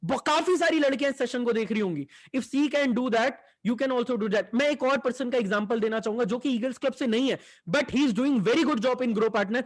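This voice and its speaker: A young man, giving an enthusiastic sales pitch for an online business and mixing Hindi with English. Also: moderate pace, few pauses. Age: 20s. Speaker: online business team leader.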